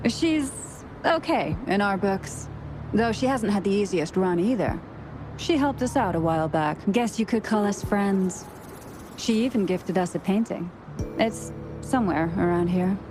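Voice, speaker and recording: A woman performs a gruff yet friendly outlaw woman for a story game. She talks slowly and casually in a mid-pitched voice, blunt and plain-spoken. Music is clearly audible behind her. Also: expressive, close to an everyday voice, rough recording. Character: gruff but friendly female camp outlaw. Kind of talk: video game story voice acting. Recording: music under the voice.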